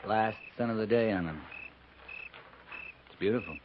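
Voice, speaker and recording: Actor as measured cavalry captain, old muffled radio. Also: male voice, fairly low pitch, lightly acted. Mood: reflective. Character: steady, weary cavalry captain on a peace mission. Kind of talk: 1950s radio western acting. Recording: dull, noisy 1950s radio recording.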